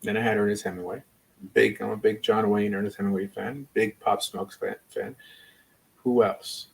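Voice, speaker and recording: A man's voice: fairly low pitch, steady tone, moderate pace, vocal fry, breathy edge, poor audio. A man speaking casually as a rabbi and livestream host, rambling and opinionated in an informal way. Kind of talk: casual livestream chat and debate.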